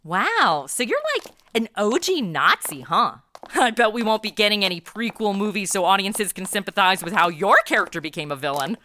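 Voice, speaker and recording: A woman's voice: mid-range voice, lively intonation, moderate pace, sharp tone, a little hiss or hum. A woman playing a wisecracking, unimpressed superheroine in a comedic audio drama, with an American accent and a breezy, sarcastic manner.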